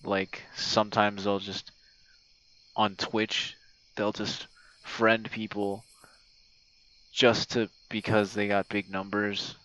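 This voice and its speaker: A man's voice: on the low side, level tone, takes it slowly, pauses often, a bit bright, poor audio. A man giving a casual, rambling monologue like a voice message to a friend, hesitant and meandering, with easy swearing. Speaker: online audio creator and game streamer. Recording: outdoor night sounds with crickets. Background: crickets and insect night sounds.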